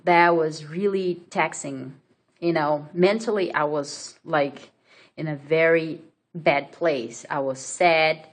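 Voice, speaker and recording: A woman from Brazil with a Brazilian Portuguese accent, telling her own story to camera in a hesitant way, full of fillers and restarts. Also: sits low. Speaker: vlogger. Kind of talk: casual personal story to camera.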